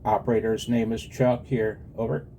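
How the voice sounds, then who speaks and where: A man in his sixties with an American accent and a low, mellow voice. He talks like a ham radio operator in a casual two-way exchange, earnest and very polite to the other party. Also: little pitch movement, normal speed, a little hiss or hum. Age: 60s. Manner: earnest and deferential, often saying sir.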